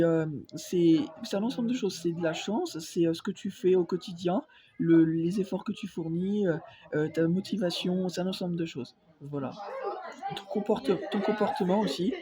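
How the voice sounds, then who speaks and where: A man's voice: high-pitched, normal speed, dark tone. A young man with a cold, rambling through an opinion vlog full of fillers and insistent repeats. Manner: hesitant, many fillers, insistent. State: has a cold. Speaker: vlogger.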